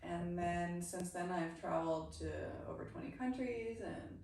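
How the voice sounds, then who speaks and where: A woman's voice: on the low side, unhurried, darkish tone. American woman in a very echoey room. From grew up in the United States. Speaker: guest, life coach. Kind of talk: casual interview storytelling. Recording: very echoey room, distant mic, faint noise.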